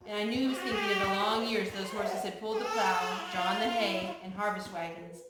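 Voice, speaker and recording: A woman reading a picture book aloud to young children, pausing to explain things to them. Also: medium pitch, fairly even intonation. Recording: very echoey room with some noise.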